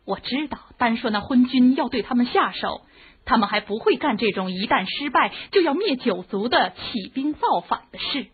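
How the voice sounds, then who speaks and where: A bold, scheming palace consort in a radio drama, goading and scornful. Her voice is high and very rough-edged, with impatient, lively swings. The recording is narrow and tinny, like a telephone line. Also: normal speed. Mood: scheming, urgent. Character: bold, scheming palace consort plotting revolt against the king.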